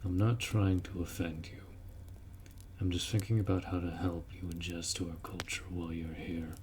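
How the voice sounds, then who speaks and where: A man playing a gruff, dutiful orc warrior in a fantasy roleplay audio drama, blunt and protective as he speaks to the listener. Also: low-pitched, level tone, normal speed, background noise, lightly acted. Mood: teasing, blunt.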